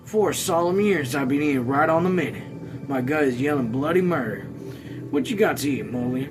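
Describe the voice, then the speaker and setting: Guy reading as a hungry blunt country man, folksy talk, bit high pitched, music going behind. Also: expressive, brisk, close to an everyday voice. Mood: hungry, emphatic. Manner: folksy rural dialect wording. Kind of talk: audiobook-style character reading.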